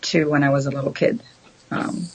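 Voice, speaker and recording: A woman, a guest answering questions thoughtfully, with many pauses and ums. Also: low voice, steady tone, a little mellow, breathy edge, rough recording. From Syria. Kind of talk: casual interview chat.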